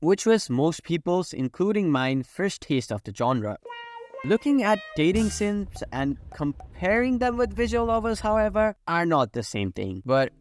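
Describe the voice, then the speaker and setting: A man's voice: sits high, big pitch swings, unhurried. A young man narrating a scripted video essay in an informal, opinionated way, sometimes swearing. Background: prominent background music.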